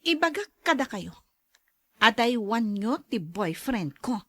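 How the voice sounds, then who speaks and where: A woman's voice: fairly high pitch, big pitch swings, takes it slowly, bright, forceful tone, no room sound, studio quality, exaggerated voice. A woman playing a jealous, possessive young woman in an audio drama, haughty and scolding. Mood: angry threatening.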